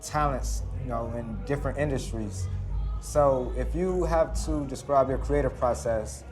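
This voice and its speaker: A man's voice: medium pitch, a little slow. A man hosting interviews at a studio meetup, upbeat and introducing his guests. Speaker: interview host. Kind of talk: event interview. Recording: dull sound, music behind.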